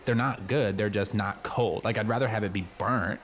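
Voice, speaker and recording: Casting: adult man as talkative, earnest high-school kid, fairly deep voice, loose casual improv comedy delivery. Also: fairly even intonation, normal speed, a little hiss or hum, close to an everyday voice. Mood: agreeing, exasperated. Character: chatty, nosy, friendly teenage schoolboy showing newcomer around. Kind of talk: improvised comedy dialogue, naturalistic casual chat.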